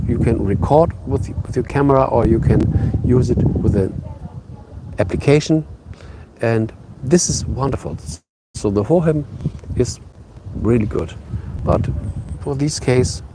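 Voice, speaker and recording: Man, English, slow, rough-edged voice; halting casual streamer talk from a phone outdoors. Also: mid-range voice, lively intonation, background noise. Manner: hesitant, halting, many fillers and restarts. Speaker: live streamer. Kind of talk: casual live stream chat. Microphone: phone on a tripod.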